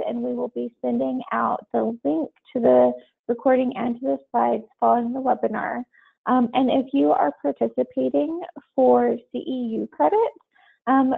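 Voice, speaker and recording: A woman's voice: sits high, creaky, husky. A woman hosting a webinar and making announcements, with many ums. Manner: filler-heavy, many ums. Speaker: webinar host. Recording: tinny phone-line audio.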